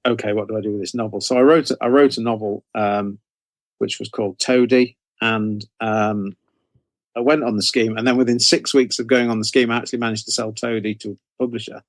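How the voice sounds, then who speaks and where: A man's voice: medium pitch, no echo. British man, dry close-sounding recording. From United Kingdom. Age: middle-aged. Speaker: interview guest, novelist. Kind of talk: casual interview storytelling.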